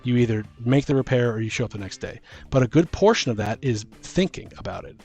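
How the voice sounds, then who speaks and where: Low-voiced man chatting over music. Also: expressive, quick pace, darkish tone. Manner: informal, argues with hypothetical examples. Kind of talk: casual podcast discussion.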